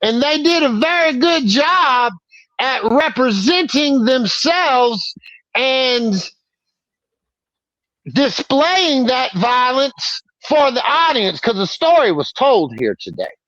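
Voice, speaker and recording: Cocky, cursing male co-host with a high voice on a dull recording. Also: big pitch swings, slow, a bit bright, dry recording. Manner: brash, profane, boastful. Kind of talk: casual podcast banter.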